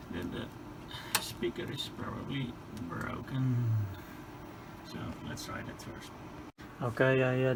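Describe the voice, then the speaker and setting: A man giving a hands-on repair demonstration, muttering haltingly and tentatively through each step as he thinks aloud. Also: mid-range voice, creaky, heavy background noise. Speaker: host of a radio repair video. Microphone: handheld camera.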